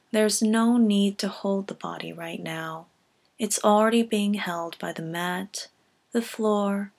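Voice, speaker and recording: Female meditation guide: medium pitch, gentle and composed, carefully measured scripted read with softly landed phrases. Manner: calm, gentle, measured delivery with soft phrase endings.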